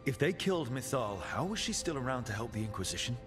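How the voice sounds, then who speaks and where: An actor playing a level-headed companion in a fantasy video game cutscene. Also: male voice, medium pitch, very animated, quick pace, natural voice. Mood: measured, questioning. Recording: dialogue under clear background music.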